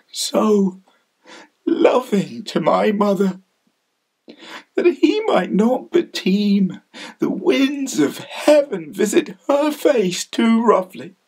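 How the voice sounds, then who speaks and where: Guy playing bitter grieving young shakespeare prince, kiwi accent, breathy, highish voice, super slow and theatrical. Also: heavily acted. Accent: New Zealand. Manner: slow, halting, anguished delivery with weighted pauses.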